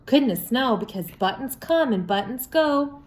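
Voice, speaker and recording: A woman reading a picture book aloud to young children in an expressive, sing-song way, putting questions to her listeners. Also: on the high side, unhurried, roomy sound. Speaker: children's story reader. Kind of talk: read-aloud for young children with sung refrains.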